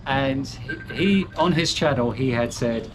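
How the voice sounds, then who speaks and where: A man's voice: medium pitch, unhurried, slight background noise, poor audio. An older British man chatting candidly as an interview guest, rambling with fillers and restarts and poking fun at himself. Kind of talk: casual chat.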